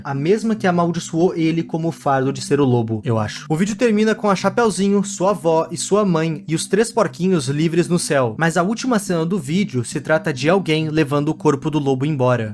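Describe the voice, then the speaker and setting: A man with a Brazilian accent, hosting a casual story recap with colloquial, wry, jokey commentary. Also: high voice. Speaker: video host.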